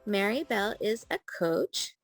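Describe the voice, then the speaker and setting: A woman's voice: medium pitch, lively intonation, a little slow, faint music behind. A woman hosting a podcast, chatty and informal, with frequent ums. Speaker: podcast host, coach and therapist.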